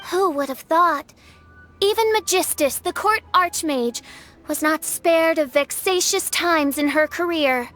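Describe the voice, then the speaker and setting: A woman's voice: very high-pitched, measured pace, fairly bright tone, faint music behind. A woman voicing a theatrical teenage princess in a video game, with pompous, archaic, dramatic phrasing.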